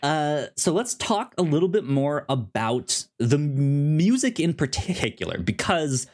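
Slow, halting man on a podcast. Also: a little high, big pitch swings, darkish tone. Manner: halting, repeats words and syllables. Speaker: podcast co-host. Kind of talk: casual podcast chat.

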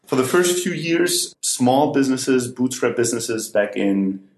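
A man's voice: mid-range voice, unhurried, reverberant. A man from Greece who grew up in Germany, a guest on a podcast, speaking with energy and enthusiasm and poking fun at himself. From Greece, raised in Germany. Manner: energetic, enthusiastic, self-deprecating. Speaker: guest, entrepreneur. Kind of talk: casual interview.

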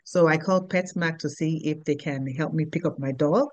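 A middle-aged woman with a Nigerian accent, answering interview questions in an unhurried way with pauses. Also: deep voice, a little mellow, no room sound. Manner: unhurried, simple sentences with pauses and fillers. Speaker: guest, business owner.

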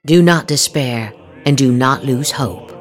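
A woman's voice: extremely low pitch, expressive, unhurried, crowd noise. A woman host reading social media posts aloud in a theatrical, emphatic way, drawing out her words. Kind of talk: dramatic verbal replay of written posts. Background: music.

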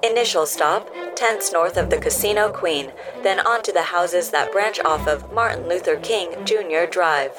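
An actress playing a courteous, helpful community health robot in an audio drama, speaking precisely and formally. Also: female voice, lowish voice, normal speed, few pauses, lightly acted. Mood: measured, official. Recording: music under the voice.

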